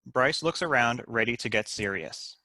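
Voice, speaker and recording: Bright, crisp-edged man narrating, dry sound. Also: mid-range voice, lively intonation, normal speed, no echo. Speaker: stage-direction narrator. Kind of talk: scripted narration at a comedy table read.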